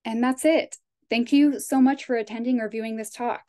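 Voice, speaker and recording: A woman guest speaker wrapping up a webinar talk in a friendly, clear way and taking questions over a video call. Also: fairly high pitch, fairly even intonation, normal speed, dark tone.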